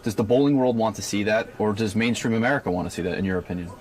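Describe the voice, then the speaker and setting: A man's voice: on the low side, racing, a little mellow. A man working as a radio interviewer, putting casual questions to his guests. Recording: clear background noise.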